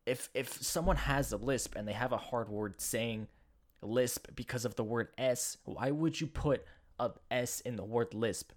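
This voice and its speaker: A man's voice: medium pitch, a little slow. Young man with a slight lisp. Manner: rambling, joking, self-deprecating. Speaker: podcast host. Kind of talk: casual solo podcast monologue.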